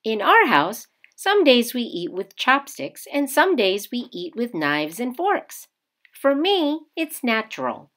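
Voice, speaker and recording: A woman reads a children's picture book aloud as a storyteller, with deliberate, emphatic phrasing. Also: a little high, sing-song, fairly bright tone. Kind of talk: expressive children's read-aloud narration.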